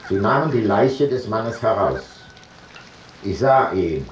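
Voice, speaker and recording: An actor playing an ancient traveller who gives a measured, detached eyewitness account in a literary radio play. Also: male voice, fairly low pitch, lively intonation, lo-fi sound, slight background noise. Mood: sober, observant. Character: sober ancient traveller reporting a foreign funeral rite.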